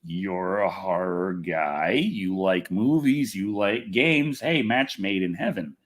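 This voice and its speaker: A man's voice: deep voice, takes it slowly. A man hosting a casual gaming and movie news livestream, rambling and often interrupting himself as he talks. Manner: rambling, animated, self-interrupting. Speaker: livestream host.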